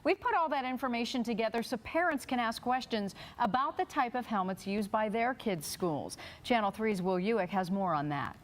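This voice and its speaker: A woman's voice: fairly high pitch, lively intonation, normal speed, few pauses. A woman with an American accent delivering scripted news narration as an investigative television reporter.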